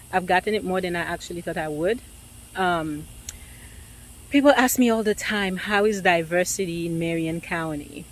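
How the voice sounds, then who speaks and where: A woman realtor with an accent, talking casually and thoughtfully to the camera, pausing often with ums and restarts. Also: on the low side, rough recording.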